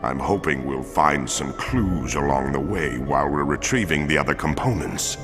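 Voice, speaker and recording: A four-armed alien cop with a super deep voice, formal and stiff, game music going under it. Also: male voice, big-room sound. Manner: formal, measured, commanding. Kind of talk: video game cutscene acting.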